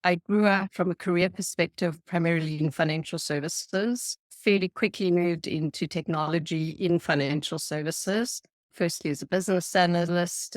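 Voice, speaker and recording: A woman from South Africa with a South African accent, a guest on a podcast, explaining her work in an earnest, measured way. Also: on the low side, no room sound, clean studio sound. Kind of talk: podcast interview.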